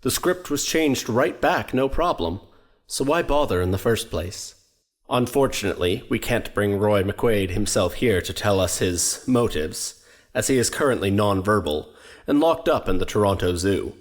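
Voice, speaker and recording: A documentary presenter in an audio drama. His voice is fairly low and very animated, leaning on key words. He delivers scripted narration with formal, wry mock gravity. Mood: grave, rhetorical. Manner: formal, measured, dramatic emphasis. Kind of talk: scripted narration, true-crime documentary parody.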